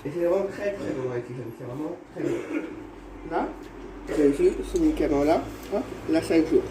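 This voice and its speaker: A man's voice: on the high side, animated. Rambling man with a cold, echoey room. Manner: rambling, repeats himself, halting restarts. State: has a cold. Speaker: vlogger. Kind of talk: casual home how-to vlog. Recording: echoey room with faint background noise.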